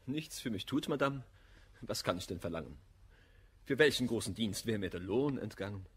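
An actor playing a proud, blunt misanthropic gentleman in a classic verse radio comedy, declaiming with emphatic scorn. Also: lowish voice, expressive, normal speed, slightly rough. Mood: indignant.

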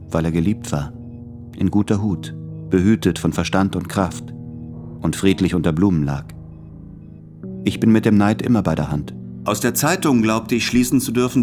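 An actor playing a melancholy, tormented writer reading his love letters in a radio play, with measured, introspective, formal diction. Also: low-pitched, a little mellow, natural voice. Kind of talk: literary German radio play monologue.

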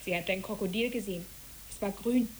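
A woman's voice: medium pitch, a little fast, lightly acted, low-quality recording. A woman playing a dreamy, talkative child in a German radio play, speaking wistfully and lyrically. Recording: hissy old radio play recording.

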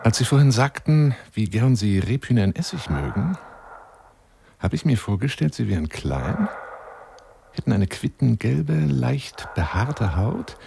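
A man's voice: medium pitch, lively intonation, unhurried, gravelly, dark tone, slight background noise. An actor in a radio monologue playing a florid, wheedling lion hunter who courts a woman over the phone in an intimate, theatrical way. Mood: infatuated, florid. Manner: grandiloquent, wheedling, intimate, dropping into whispers.

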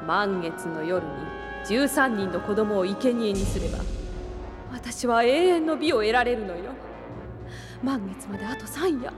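A woman's voice: medium pitch, expressive, unhurried, close to an everyday voice. A woman playing a vain, seductive and cruel demon villainess in an audio drama, with an imperious, sultry manner. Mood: scheming. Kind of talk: Japanese audio drama acting. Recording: long reverb, music behind.

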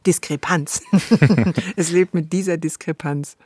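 A woman's voice: sits low, steady tone, slow pace, dark tone, dry recording. A woman hosting a conversational radio discussion of literature, thoughtful and searching for her words. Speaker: radio podcast host.

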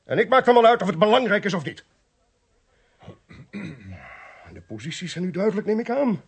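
Smug, husky-voiced official investigator. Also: male voice, high voice, expressive, racing, full character voice. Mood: stern, commanding. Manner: pompous, precise, commanding. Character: smug, domineering male government investigator, officious and sly. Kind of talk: Dutch radio play acting.

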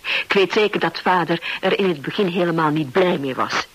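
An actress playing a confident young businesswoman in a radio play, composed and lightly teasing, with a slightly husky voice. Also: lowish voice, moderate pace, fairly bright tone, rough recording. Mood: self-assured. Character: Hilda, confident young businesswoman falling in love. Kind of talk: Dutch-language radio drama acting. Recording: old radio play recording.

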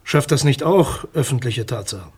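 An actor playing a formal, guarded public prosecutor in a radio drama, with a reserved, official manner. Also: male voice, medium pitch, animated, normal speed, a little mellow, slightly rough. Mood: formal, defensive.

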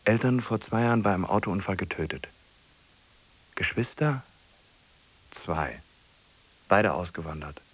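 An actor playing a solitary middle-aged man in a radio drama, ruminating in short questioning fragments with a husky, breathy voice. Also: low voice, expressive, a little slow, pauses often, heavily acted. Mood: brooding, resolving. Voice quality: husky, breathy, often sinking to a whisper. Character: solitary man fixated on a lonely woman, musing.

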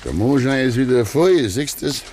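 An actor playing an older, slow and brooding farmer in a Bavarian dialect radio play from the 1950s, musing in drawn-out phrases. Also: male voice, medium pitch, lively intonation, a little mellow, close to an everyday voice. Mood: brooding, insistent. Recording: 1950s radio recording, faint noise.

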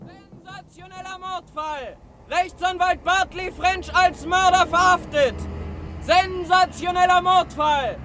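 An actor in an old German radio play playing a young newspaper seller crying out headlines in a drawn-out street call. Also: very high voice, fairly even intonation, crowd noise. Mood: urgent, sensational. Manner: shouted, drawn-out street cry.

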